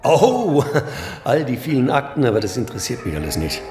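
An actor in a German radio drama playing an effusive, grandly jovial theatre boss. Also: male voice, mid-range voice, huge pitch swings, normal speed. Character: beaming, effusive theatre boss dismissing paperwork. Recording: roomy echo with music underneath.

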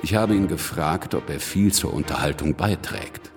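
An actor playing a shrewd, cynical revolutionary politician who speaks dismissively in a radio play monologue. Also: male voice, deep voice, normal speed. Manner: sardonic, dismissive. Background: music.

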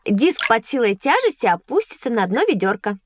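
A curious young pupil in a children's audio drama, with a high, animated voice. The child is earnest and inquisitive, thinking things through aloud. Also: female voice, moderate pace, no room sound. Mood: thoughtful. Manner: inquisitive, careful reasoning aloud.

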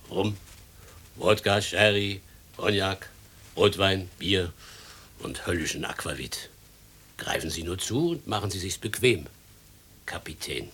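A man's voice: lowish voice, fairly bright tone, slightly rough, heavily acted. An actor playing an obliging, hardy coachman in a radio play, plain-spoken and familiar with his guest. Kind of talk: German radio play acting.

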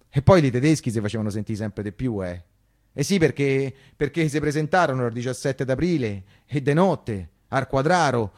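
A man's voice: mid-range voice, darkish tone, lightly acted. An actor playing an anguished working-class Roman man who recounts wartime life in a theatrical, colloquial monologue full of insistent repetition. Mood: agitated. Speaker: stage storyteller and actor. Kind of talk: theatrical first-person monologue for radio theatre.

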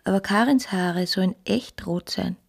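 Husky, intimate woman in love. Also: mid-range voice, moderate pace. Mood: probing, needling. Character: earnest, probing woman in love with a married man. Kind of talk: German-language radio play acting.

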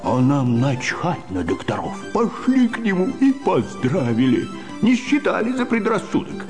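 An actor playing a decrepit, fawning and gossipy old government clerk in a radio play, with hesitant, old-fashioned chatter. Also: male voice, a little high, wildly swooping pitch, unhurried. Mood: nostalgic, aggrieved. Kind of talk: theatrical radio play of a classic short story. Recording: studio radio play with music behind.

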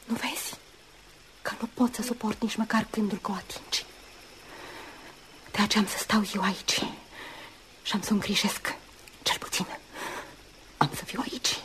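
Woman acting a jealous devoted nurse type in an old radio play, earnest, hushed, slightly noisy recording. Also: medium pitch, steady tone, pauses often, close to an everyday voice. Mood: wounded, jealous. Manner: earnest, urgent, sometimes dropping to hushed tones. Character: dutiful, jealous woman nursing a rival, intense and wounded. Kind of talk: Romanian radio drama acting.

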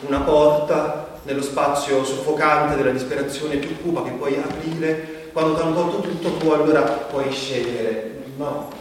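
An actor playing a troubled man in a bleak, questioning stage monologue, speaking with halting repeated phrases. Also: mid-range voice, slight background noise, lightly acted. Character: troubled man reflecting bleakly on crisis and despair. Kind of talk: theatrical monologue. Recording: distant, very echoey hall, music behind.

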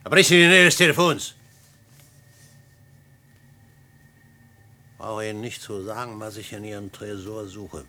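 Desperate, menacing academic, bright-edged male voice. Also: fairly low pitch, fairly quick, a little hiss or hum. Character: desperate, menacing male university academic holding a gunpoint standoff. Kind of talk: German radio play acting.

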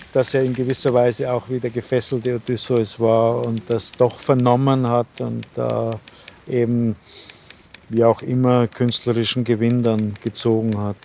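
A man in reflective conversation, with halting, circling phrasing. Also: mid-range voice, a little mellow, slight background noise.

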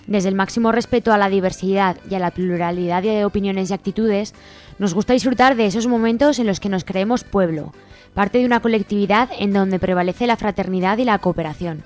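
A woman's voice: mid-range voice, brisk, a little mellow. A woman with an accent from Spain reading a scripted, manifesto-like introduction to a radio show. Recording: music behind the voice. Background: music.

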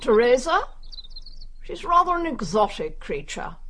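A woman's voice: a little high, sing-song, unhurried, a bit bright, a little hiss or hum. An actress playing a nosy, brusque older English village woman in a radio drama, gossiping wryly. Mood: wry, confiding.

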